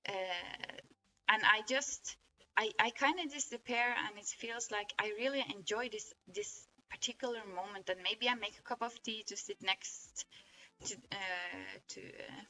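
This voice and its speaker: A woman's voice: medium pitch, a little slow, sharp tone, poor audio. A woman with a Norwegian accent, a native Norwegian speaker, talking through her experience in an earnest, rambling way, with many restarts. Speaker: vlogger. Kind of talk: casual reflective monologue.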